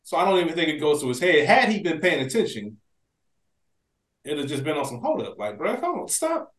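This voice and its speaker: A man with a fairly high voice chats as a podcast co-host in a roomy, echoey space. He is slangy and animated, and swears freely as he talks. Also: fairly quick. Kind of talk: casual TV recap chat.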